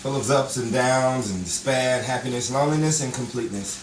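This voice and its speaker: An actor playing an earnest, wistful romantic hero in a nostalgic radio drama, with a halting delivery. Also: male voice, medium pitch, slight background noise, lightly acted. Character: wistful romantic hero recalling his lost love. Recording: roomy, distant live stage recording, crowd.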